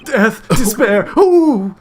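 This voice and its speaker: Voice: medium pitch, lively intonation, extremely slow, pitched above the performer's own voice. A game player voicing a despairing, melodramatic young man accused of murder, wailing and pleading in an overwrought way. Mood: dramatic despair.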